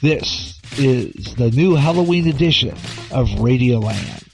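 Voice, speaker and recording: A man's voice: medium pitch, lively intonation, measured pace, vocal fry, poor audio. A man hosting a radio show, reading a scripted introduction. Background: faint music.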